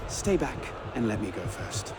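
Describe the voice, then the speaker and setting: An actor playing a cocky, sardonic young adventurer in a video game, wry and dry-witted. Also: male voice, lowish voice, big pitch swings, moderate pace. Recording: loud outdoor street noise behind.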